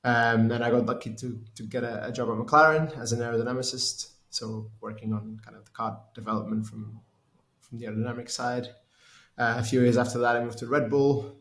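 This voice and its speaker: A man's voice: mid-range voice, fairly even intonation, a little fast, a bit bright, reverberant. A man from Portugal in his thirties, a race engineer answering interview questions in a hesitant way with many restarts. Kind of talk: conversational interview.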